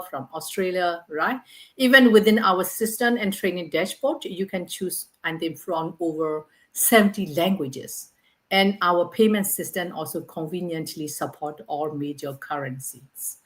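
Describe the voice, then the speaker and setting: A woman who hosts an online business panel and moves it along with upbeat, scripted questions. Her voice is slightly low. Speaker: host and moderator.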